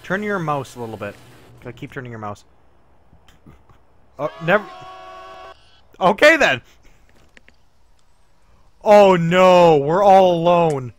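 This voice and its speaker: A man with a slightly high, animated voice chatters through a co-op zombie game, laughing at his own jokes. He rambles, trips over his words and swears freely. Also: slow, long pauses. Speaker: gameplay commentator. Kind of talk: casual gameplay chat with a friend.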